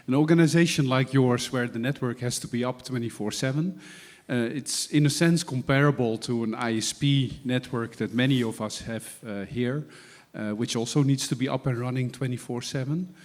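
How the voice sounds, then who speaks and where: A man's voice: mid-range voice, normal speed. A man moderating questions at a conference in an informal, conversational style. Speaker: session moderator.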